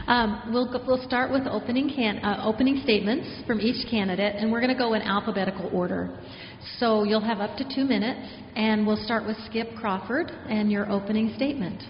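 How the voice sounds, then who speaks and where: A woman moderates a candidate forum, brisk and businesslike in a mid-range voice. She sounds through a dull mic in a large echoing hall with a low hum behind.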